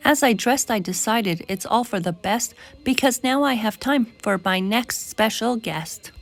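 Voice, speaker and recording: A woman reading a rhyming children's story aloud in an animated, emphatic way as a storytime host. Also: fairly high pitch, unhurried. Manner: animated, emphatic rhymed delivery.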